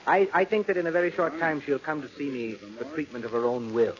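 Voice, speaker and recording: An actor playing a self-assured, probing psychiatrist in a 1940s radio drama, deliberate and authoritative. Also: male voice, a little high, muffled recording, loud music behind the voice, lightly acted. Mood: confident.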